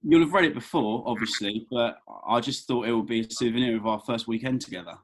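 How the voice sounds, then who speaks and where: A man playing a husky, awkward young suitor. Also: medium pitch, quick pace, close to an everyday voice, poor audio. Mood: awkward, earnest. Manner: hesitant, earnest. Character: awkward, eager young man showing off on a date. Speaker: actor in a script read-through. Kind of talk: table read of a comedy screenplay. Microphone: video call.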